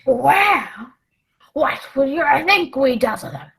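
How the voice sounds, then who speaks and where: A woman playing a sly, commanding male pirate ringleader in a radio play, with folksy, drawn-out seafaring talk. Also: high voice, lively intonation, creaky, a crowd in the background, full character voice.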